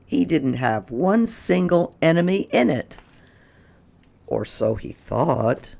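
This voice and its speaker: A storyteller reading a children's book aloud in an expressive, deliberate way. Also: mid-range voice, creaky. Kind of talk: children's read-aloud narration.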